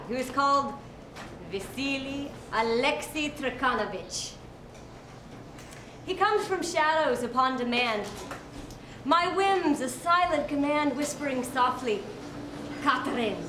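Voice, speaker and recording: High-voiced woman reciting, echoey and distant. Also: animated, a little slow, long pauses. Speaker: reader at a literary recitation. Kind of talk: expressive recitation of a literary piece. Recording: echoey, distant mic, clear background noise.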